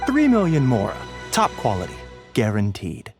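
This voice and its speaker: A politely mercenary gentleman doctor from a video game, his mid-pitched voice lilting widely. He is courteous and silky, with music clearly underneath. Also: wildly swooping pitch, normal speed. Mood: polite slyness. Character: genteel, sly male doctor, politely mercenary merchant. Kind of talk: video game dialogue acting. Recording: music playing behind. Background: background music.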